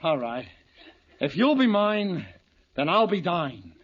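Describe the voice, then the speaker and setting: An actor playing an earnest, dim, lovesick butcher in an old radio sitcom, gushing in a folksy way, on an old recording. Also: male voice, high-pitched, very animated, slow pace, lo-fi sound, heavily acted. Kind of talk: 1940s-50s radio sitcom acting.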